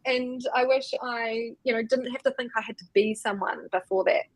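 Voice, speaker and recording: Woman from New Zealand, business guest, thoughtful and halting, dry close mic. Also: a little high, no room sound, low-quality recording. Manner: reflective, many fillers and restarts. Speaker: co-founder and co-CEO, guest. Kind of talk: casual interview answers.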